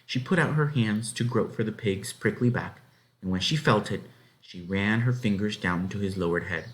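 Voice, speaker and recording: A man reading a children's novel aloud as narrator, in an expressive, dramatic way. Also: on the low side, normal speed. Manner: dramatic, animated. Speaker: story reader.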